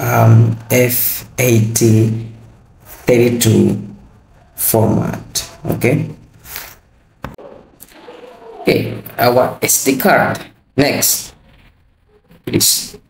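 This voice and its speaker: A man presents a hands-on tutorial at a very slow, halting pace, often thinking aloud. His voice has a gravelly creak, and the room around him echoes. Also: medium pitch, a little mellow. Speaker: host of electronics tutorial. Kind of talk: unscripted step-by-step tutorial.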